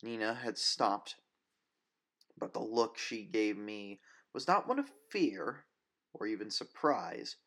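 Casting: male audiobook narrator with a lowish voice for dramatic first-person fiction. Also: animated, slow, fairly bright tone.

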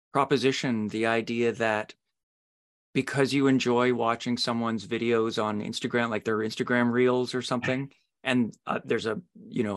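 A man hosting an interview podcast in a casual, discursive conversational way, sketching out imagined quotes. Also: medium pitch.

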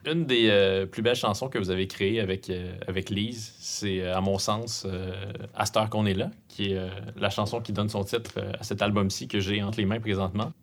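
A man interviewing a guest, asking questions in a measured way with many hesitations. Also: fairly low pitch, fairly even intonation.

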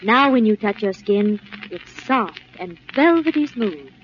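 A woman's voice: mid-range voice, expressive, unhurried. A woman reading a scripted 1940s radio advertisement as a spokeswoman. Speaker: commercial spokeswoman. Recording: muffled, hissy vintage radio recording.

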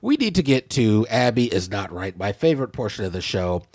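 A man's voice: on the low side, very animated, a little fast, a little mellow, dry recording, studio quality. A man hosting a comedy podcast, ranting theatrically and peppering his talk with "okay?" and "I digress.". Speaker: podcast host. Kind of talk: casual comedic podcast banter.

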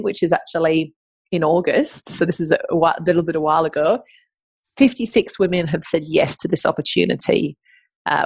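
Chatty English-accented woman, gravelly lows. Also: no room sound. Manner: chatty and enthusiastic. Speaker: podcast host. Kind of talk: casual podcast monologue.

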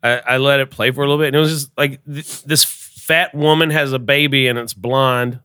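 Man, podcast host, joking banter, bright tone, dry sound. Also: medium pitch, steady tone, normal speed, clean tone, no echo. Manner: joking, laid-back banter. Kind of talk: casual podcast chat. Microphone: headphones at a computer mic.

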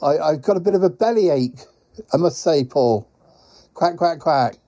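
Casting: male performer as a fussy, polite duck courier for comedy audio; highish, hesitant, quack-punctuated, slightly muffled recording. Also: lively intonation, a little slow, warm tone. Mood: fretful. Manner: hesitant, rambling, quacks as a verbal tic. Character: flustered, polite talking duck courier, male, pie-stuffed traveller. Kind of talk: comic audio skit for a podcast.

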